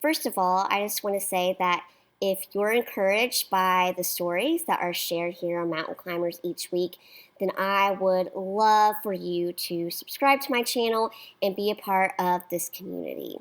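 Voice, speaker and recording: A woman's voice: medium pitch, fairly even intonation, moderate pace. A woman hosting an interview show from her studio, speaking with enthusiasm and emphasis. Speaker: host. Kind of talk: interview show host, intro to camera then casual chat. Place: home studio.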